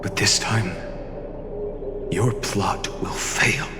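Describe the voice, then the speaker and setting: An actor playing a resolute young hero in a video game cutscene, speaking firmly and defiantly. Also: male voice, on the low side, drawn-out words. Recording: reverb with loud music behind.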